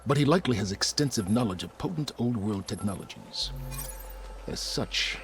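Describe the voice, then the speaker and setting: Low-voiced calculating male scholar. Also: animated, background noise. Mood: wary. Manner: measured, formal, erudite. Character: calculating, worldly older male scholar and strategist. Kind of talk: cinematic video game dialogue.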